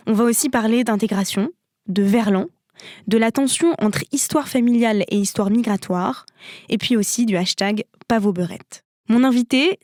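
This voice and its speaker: A woman in her twenties from France, hosting a podcast in measured, reflective scripted narration. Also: on the high side, darkish tone, no echo, studio quality. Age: 20s. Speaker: podcast host. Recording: narration over clear music.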